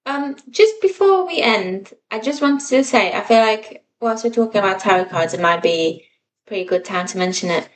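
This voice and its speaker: Woman podcast cohost, bit far from the mic, rambly casual chat, kinda self-deprecating, normal pitch. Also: lively intonation, normal speed, a little hiss or hum. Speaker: podcast co-host.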